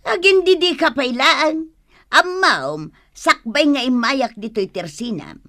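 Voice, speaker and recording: A feisty woman shopper from a radio comedy drama. Her voice is very high and bright, with slow, lively, exclaiming delivery that challenges whoever she addresses. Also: dry recording, studio quality, full character voice. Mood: indignant, scolding. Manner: exclaiming, confrontational. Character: quarrelsome female customer and mother, indignant, scolding.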